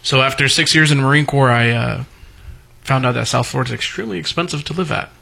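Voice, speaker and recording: Man, 30s, born in Brazil; gravelly, bright, polite and plainspoken. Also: medium pitch, quick pace, slight background noise. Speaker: guest entrepreneur. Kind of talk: casual radio interview. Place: radio studio.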